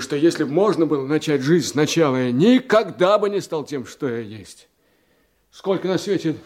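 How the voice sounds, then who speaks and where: An actor playing a weary, troubled young police detective in a Russian radio drama, emotional and hesitant in a confessional way. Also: male voice, on the high side.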